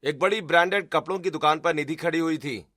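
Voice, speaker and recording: A male narrator reads a scripted cartoon story. His voice is high for a man, and faint music plays behind it. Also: brisk.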